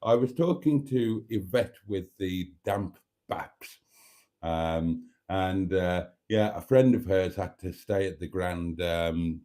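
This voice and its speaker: A British man in his fifties, talking to his viewers in a relaxed, rambling way. His voice sits fairly low and sounds warm and mellow. He trails off into ums and calls the audience darlings. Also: slow pace. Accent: British English. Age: 50s. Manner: chatty and self-deprecating, calls viewers darlings; rambling with frequent ums and restarts. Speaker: vlogger host. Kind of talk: casual live-stream chat.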